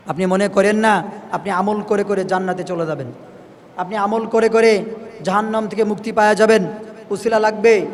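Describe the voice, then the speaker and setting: A male Islamic preacher speaks in a distinctly high register. He exhorts his listeners emphatically, repeating phrases to drive them in. His voice carries a long, echoing hall reverb. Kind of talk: impassioned sermon to listeners.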